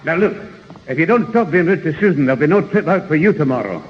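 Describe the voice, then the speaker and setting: A stern old grandad, played by a man, admonishing in a fairly high voice. The radio comedy recording is old and hissy, with long reverb. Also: animated, fast talker, dull audio, natural voice. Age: older. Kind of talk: radio sitcom acting.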